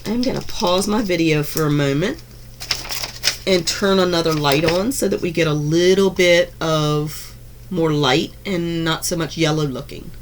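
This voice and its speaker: A woman chatting through a craft unboxing in her home craft room, gushing over each piece with frequent ums and restarts, her voice a little hoarse from being under the weather. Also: sits low, measured pace, background noise. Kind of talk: casual unboxing narration.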